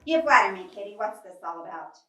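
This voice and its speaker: A woman's voice: medium pitch, expressive, fast, fairly bright tone, far from the mic, natural voice. A woman voicing a haughty, easily ruffled bird in a storybook, pompous and theatrical. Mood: smug. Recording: roomy, off-mic, music behind.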